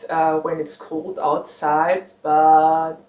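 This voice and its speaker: Young woman chatting slow and giggly, lots of ums, roomy echo. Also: low-pitched, level tone, darkish tone. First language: German. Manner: chatty, giggly, rambling with many fillers. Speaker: book channel host. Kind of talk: casual chat to camera.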